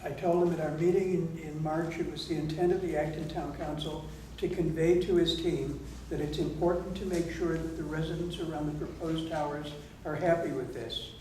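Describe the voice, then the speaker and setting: Man, town council official running a meeting, slightly high voice, measured and formal, recorded in a very echoey room. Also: steady tone, darkish tone. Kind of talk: reporting at a public meeting. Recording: echoey meeting hall, distant mic, noisy.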